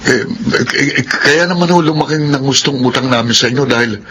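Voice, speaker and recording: A man plays an aggrieved, desperate Filipino son in a radio drama. His voice is mid-pitched, earnest and hesitant, with faint noise in the recording. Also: normal speed, fairly bright tone, natural voice. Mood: nervous, pleading.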